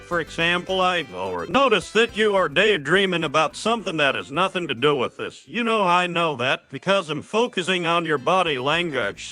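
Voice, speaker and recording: Guy doing a cartoon frog teacher, high and sharp voice, preachy lecturing, music behind. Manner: lecturing, explanatory. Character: frog mentor, male, patient, preachy, teaching patience. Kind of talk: amateur comic dub acting.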